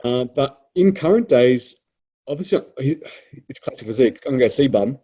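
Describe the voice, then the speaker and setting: A man's voice: mid-range voice, animated, moderate pace, mellow, husky, dull audio. A man in his mid-thirties from Melbourne with an Australian accent, hosting a podcast and reading out listener questions in easygoing chat. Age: 30s. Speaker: prep coach podcast host reading questions.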